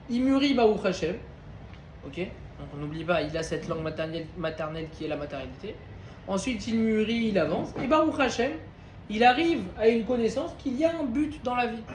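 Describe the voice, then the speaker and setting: A man's voice: very high voice, a little hiss or hum. A man giving an informal Torah class to a group of men, talking conversationally and often checking in with his listeners. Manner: conversational, questioning, addresses listeners directly. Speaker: Torah teacher. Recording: roomy echo with faint ambience.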